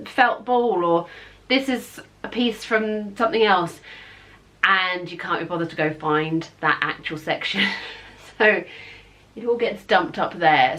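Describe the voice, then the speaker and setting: A woman's voice: fairly low pitch, a bit bright. A woman in her thirties talking casually and informally as she explains things like a home vlogger. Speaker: parenting vlogger. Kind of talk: casual chatty home tour.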